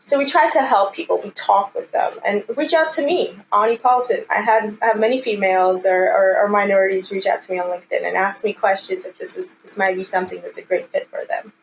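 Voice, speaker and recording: A woman from Armenia talks as an interview guest in a conversational, earnest way. She sounds encouraging, as if urging people on, and her voice sits at a medium pitch. There is some light noise in the recording. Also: fairly even intonation, fairly quick, gravelly, hardly any pauses, low-quality recording. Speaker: interview guest, commercial real estate team lead.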